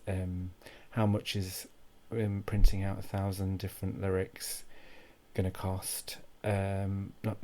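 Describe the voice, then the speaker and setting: A man telling a comic first-person story in an awkward, deadpan way, halting and repeating his phrases. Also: sits low. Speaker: comic monologue host.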